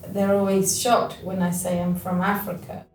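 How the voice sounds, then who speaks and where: A woman's voice: lowish voice, level tone, normal speed. A woman from Namibia, fondly recalling her upbringing in a documentary interview. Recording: echoey distant mic, faint music.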